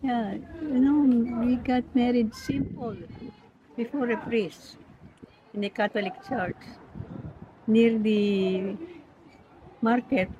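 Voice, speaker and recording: A woman in her nineties from the Philippines, speaking with a Filipino accent and Pampango as her first language, rambling gently and laughing easily as she recalls her life. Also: fairly high pitch, steady tone, slow pace, pauses often. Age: 90s. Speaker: interviewee. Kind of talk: reminiscing in casual chat. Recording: lo-fi with background noise.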